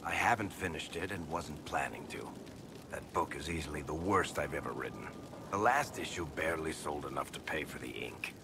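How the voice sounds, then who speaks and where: An actor playing a wry, wisecracking rogue writer in a fantasy video game, dry and conversational, with noise behind. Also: male voice, extremely low pitch, expressive, normal speed, vocal fry, full character voice. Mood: disbelieving. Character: wry, sardonic roguish male storyteller, grudgingly good-natured. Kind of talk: cinematic game dialogue acting. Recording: background noise and ambience.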